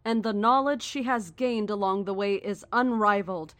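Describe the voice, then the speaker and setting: A woman plays a female cult founder in a horror audio drama, recorded close and dry. She is calm and cryptic, choosing formal words deliberately, with cold certainty and a faint menace. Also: medium pitch, no echo, close to an everyday voice. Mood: calm, knowing.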